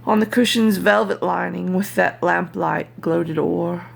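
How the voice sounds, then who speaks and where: Slow, creaky-voiced woman reading poetry dramatically. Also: fairly low pitch. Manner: theatrical, deliberate, drawn-out phrasing. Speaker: poetry reader.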